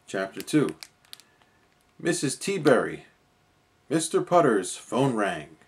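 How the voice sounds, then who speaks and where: Animated, unhurried man reading a children's story. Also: mid-range voice. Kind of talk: expressive children's story narration.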